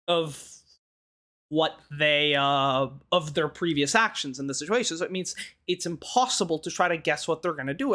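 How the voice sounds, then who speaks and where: A man gives casual video game commentary in a slightly hoarse, strained voice. He thinks aloud as he goes, rambling in a conversational way as the host. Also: high-pitched, a bit bright.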